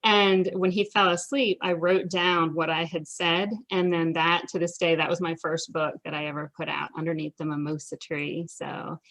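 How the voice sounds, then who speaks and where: A woman's voice: fairly low pitch, flat delivery, normal speed, few pauses, fairly bright tone, poor audio. A middle-aged woman with an American accent, chatting enthusiastically as an interviewed author and telling personal stories casually. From United States.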